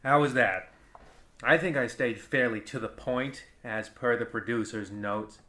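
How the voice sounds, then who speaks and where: A man playing a pompous, crotchety old narrator of a radio horror show, with a theatrical, drawn-out delivery. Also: mid-range voice, bright, forceful tone. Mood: defensive, grumbling.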